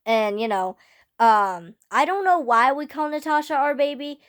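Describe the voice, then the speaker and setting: A teenage girl ranting casually about a film to camera, rambling with many fillers, her voice slightly hoarse. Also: high-pitched, expressive, normal speed. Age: teens. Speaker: vlogger. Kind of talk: casual fan rant to camera.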